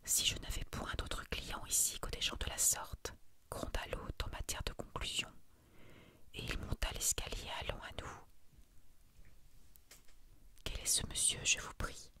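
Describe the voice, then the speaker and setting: A woman reading a short story as a narrator, in a hushed, soft ASMR bedtime style. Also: measured pace, pauses often.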